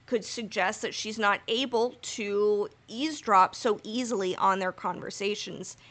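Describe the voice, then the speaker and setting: A woman's voice: mid-range voice, a little slow, a bit bright. Woman co-host arguing her point. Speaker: podcast co-host. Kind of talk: casual analytical discussion. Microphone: remote call mic.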